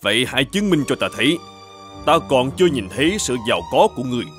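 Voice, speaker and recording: Cartoon king, older, stern and greedy, imperious delivery, lively intonation. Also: medium pitch, fast, soft background music. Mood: haughty. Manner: imperious, commanding. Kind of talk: dubbed children's cartoon acting.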